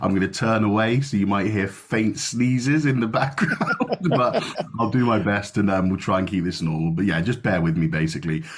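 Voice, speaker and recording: A man hosting a podcast in casual chat, sniffly with a cold, chatty and quick to laugh. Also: fairly low pitch, slight background noise. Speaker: podcast host. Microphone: video call.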